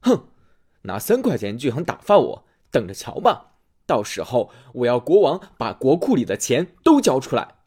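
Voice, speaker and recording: In this storybook, a bold discharged soldier voiced by a man speaks in a husky, rousing tone. His pitch jumps high and swoops widely as he recruits companions. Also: wildly swooping pitch, normal speed, a little mellow. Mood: indignant. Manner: boastful, rousing.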